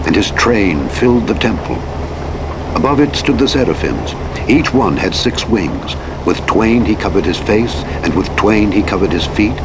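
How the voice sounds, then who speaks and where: A man reading scripture as a narrator in a solemn, measured, declamatory manner. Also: low-pitched. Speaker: audiobook Bible narrator. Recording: engine and road noise over playback. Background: truck simulator vehicle noise.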